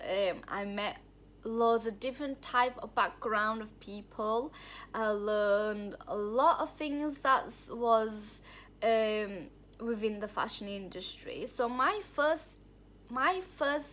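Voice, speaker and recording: A woman's voice: a little high, a bit bright. Candid woman telling her story. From Thailand. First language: Thai. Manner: candid, rambling, restarts often, heartfelt. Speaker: vlogger. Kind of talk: personal story vlog. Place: indoor room at home.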